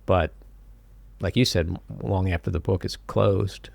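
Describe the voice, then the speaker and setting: A man hosting a podcast interview, asking questions in a measured, earnest way. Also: low-pitched, fairly even intonation, vocal fry, darkish tone, dry recording. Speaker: podcast host. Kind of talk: interview conversation.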